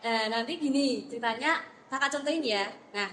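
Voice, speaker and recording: A woman's voice: on the high side, normal speed, a bit bright, a little hiss or hum. A young woman, a counseling student, leading a group guidance session in a classroom, friendly and encouraging with many fillers. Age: young adult.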